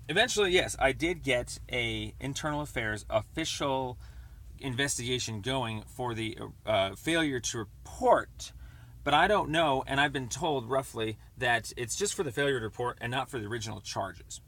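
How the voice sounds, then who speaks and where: A man speaks as a caller lodging a complaint on the phone, at a middling pitch. He explains at length in a rambling way, often stammering and restarting as he goes. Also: fairly bright tone. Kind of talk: phone conversation. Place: on a phone call.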